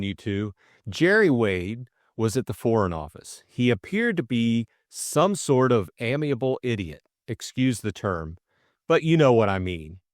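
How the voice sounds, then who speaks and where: A man voicing a breezy, excitable young English gentleman sleuth in an audiobook, full of upper-class slang and exclamations. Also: medium pitch, no echo, clean studio sound.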